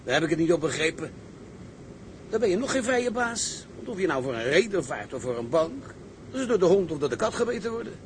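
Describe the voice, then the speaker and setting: A man's voice: a little high, sing-song, brisk, a little hiss or hum. A man playing a sober, sceptical ship's engineer in a seafaring drama, with a wry, down-to-earth manner. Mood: wry doubt. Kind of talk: dramatised seafaring story, scripted acting.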